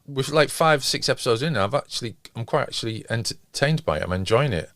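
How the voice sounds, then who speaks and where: A man's voice: mid-range voice, lively intonation, brisk, a bit bright, no echo, studio quality. A man nearly fifty, co-hosting a casual podcast chat in a hesitant, understated way. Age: late 40s, nearly 50. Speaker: podcast co-host. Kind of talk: casual discussion of television.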